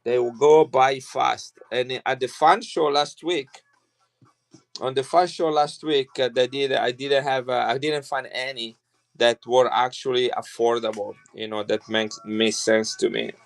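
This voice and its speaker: A man's voice: mid-range voice, fairly even intonation, unhurried, gravelly. Chatty man selling coins. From Italy. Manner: chatty and rambling, with frequent restarts. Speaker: coin seller on a live stream. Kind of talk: casual live sales chat.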